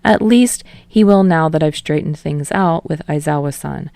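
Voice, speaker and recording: Woman voicing a wry, exasperated male manager, creaky. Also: deep voice, normal speed, warm tone, no echo. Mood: wry, assured.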